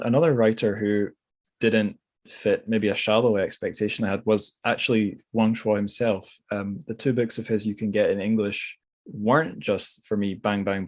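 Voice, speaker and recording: Male podcast host, slightly low register, casual literary discussion, musing and tentative delivery.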